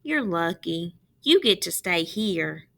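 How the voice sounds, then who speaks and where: A woman's voice: fairly low pitch, big pitch swings, takes it slowly, creaky, exaggerated voice. A woman voicing an earnest, appreciative young schoolboy in a storybook, speaking with lifted emphasis. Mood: wistful. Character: young schoolboy about to move away, wistful, appreciative. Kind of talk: storybook read-aloud.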